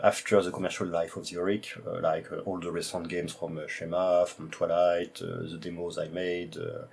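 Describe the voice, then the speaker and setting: French-accented male host, low gravelly voice, hesitant casual show-and-tell. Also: darkish tone. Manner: hesitant, heavy use of "uh" fillers. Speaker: retro computing video host.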